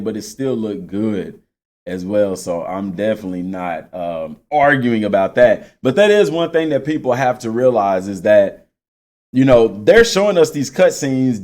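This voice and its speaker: Casual guy doing gaming commentary. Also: mid-range voice. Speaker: video game channel host.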